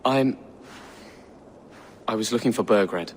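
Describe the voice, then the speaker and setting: A young actor playing an earnest, anxious young Saxon prince who speaks in a hurried, unsure way, in a video game cutscene. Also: male voice, fairly low pitch, slight background noise. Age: young adult.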